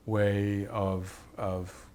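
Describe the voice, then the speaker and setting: A man speaking as a film director in an interview, reminiscing about his work in halting, hesitant phrases. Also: lowish voice, little pitch movement, a little mellow, slight background noise. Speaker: film director and effects artist being interviewed.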